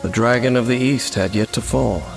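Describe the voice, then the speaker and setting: A man voicing a solemn, mysterious wandering swordsman in a game, speaking in measured, formal phrases. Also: medium pitch, creaky, natural voice. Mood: grave. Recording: game music behind, with rain sounds.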